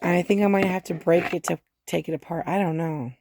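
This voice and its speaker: A woman with a hoarse voice from laryngitis, chatting casually as she unboxes gardening supplies. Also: fairly low pitch, fairly even intonation, a little fast, darkish tone, a little hiss or hum. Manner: chatty and rambling, many fillers. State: hoarse, losing her voice. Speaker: gardening vlogger.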